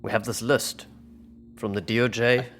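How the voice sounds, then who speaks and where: A man's voice: medium pitch, fairly even intonation, moderate pace, a bit bright, faint music behind. A man role-playing at a tabletop game, making his case insistently and repeating phrases for emphasis. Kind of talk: in-character role-play discussion.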